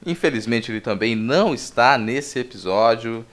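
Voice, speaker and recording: A man's voice: mid-range voice, lively intonation, moderate pace. A Brazilian man hosting a podcast, giving articulate, wry commentary in a discursive, conversational way. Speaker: podcast host.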